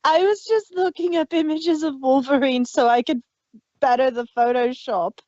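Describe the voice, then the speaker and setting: A woman's voice: high voice, normal speed, a little mellow, no echo. A woman hosting a show, chatting casually and telling anecdotes on a dull, lo-fi recording. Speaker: host of an audio drama review.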